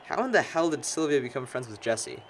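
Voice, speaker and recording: A man's voice: medium pitch, animated, fairly quick. A young man acting a wisecracking, sarcastic friend in casual amateur film dialogue. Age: young adult. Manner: sarcastic, teasing.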